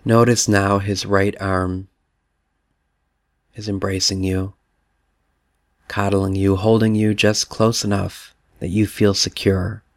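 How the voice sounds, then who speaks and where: A man's voice: lowish voice, flat delivery, slow, long pauses, no echo, studio quality. A man leading a guided prayer meditation, speaking soothingly to the listener in the second person.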